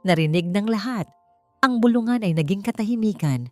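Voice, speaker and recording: A woman narrating an audiobook romance story, speaking in an expressive storytelling manner that climbs higher at dramatic moments. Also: brisk, no echo. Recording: faint background music.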